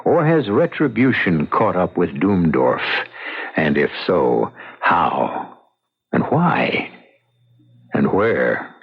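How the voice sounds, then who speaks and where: Slow, theatrical mystery radio host. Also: male voice, low voice, very animated, gravelly, breathy edge, heavily acted. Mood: ominous. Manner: theatrical, deliberate, rhetorical questions. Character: brooding theatrical male mystery-show host. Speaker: host and narrator. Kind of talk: old-time radio scripted narration. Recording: muffled radio drama with loud music.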